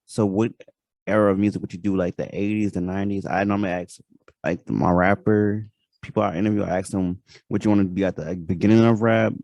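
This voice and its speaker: Man, very low voice, interview host, rambling with fillers, dry sound. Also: steady tone, fairly quick, gravelly, darkish tone, no room sound. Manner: rambling questions with many fillers and restarts. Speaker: podcast host. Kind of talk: casual interview.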